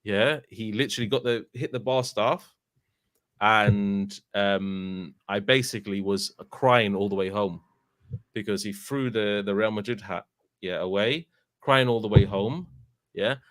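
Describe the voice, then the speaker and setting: A man in his thirties with a London accent, chatting casually as a fan channel host, telling animated stories with lots of filler tags. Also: on the low side, a little slow, dry recording. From England. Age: 30s. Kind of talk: casual chat.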